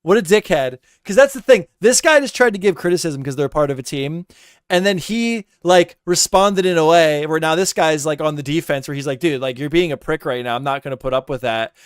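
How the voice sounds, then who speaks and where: A man rants through a reaction stream, exasperated and repeating his points. His voice is fairly high, smooth and clear. The sound is dry and close, with no room echo. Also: a little fast. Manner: ranting, exasperated, repeats himself. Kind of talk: heated casual commentary.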